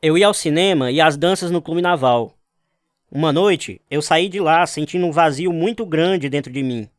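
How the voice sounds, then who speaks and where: A man's voice: on the high side, moderate pace, dry recording, clean studio sound, natural voice. An actor playing an earnest, devout young Navy man telling his life story in a radio drama. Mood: reflective. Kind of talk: radio drama narration with acted dialogue.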